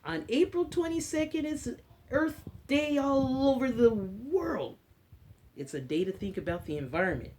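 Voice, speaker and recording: A woman's voice: on the high side, animated, measured pace, a little mellow, slight background noise. An American woman hosting a children's story time, speaking in a teacherly way with simple short phrases and questions to her listeners. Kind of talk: story time talk and read-aloud for children.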